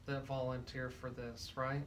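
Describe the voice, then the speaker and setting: A man's voice: medium pitch, little pitch movement, measured pace, a bit bright, slight background noise. A man hosting a radio interview, putting casual, plainly worded questions to guests.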